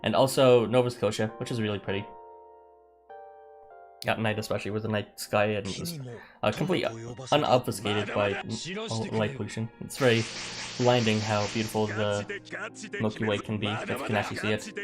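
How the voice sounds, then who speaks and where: A young man, streaming a game and chatting casually with viewers in a halting way, full of fillers and little chuckles. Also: on the low side. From Canada. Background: game music.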